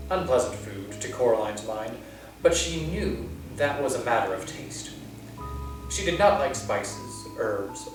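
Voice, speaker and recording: A man reading a dark fantasy chapter aloud for storytime, slow and measured. He sounds far from the mic in a very echoey room, with instrumental music playing clearly underneath. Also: medium pitch. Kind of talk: storytime read-aloud narration. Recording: roomy, distant, with music. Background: soft instrumental music.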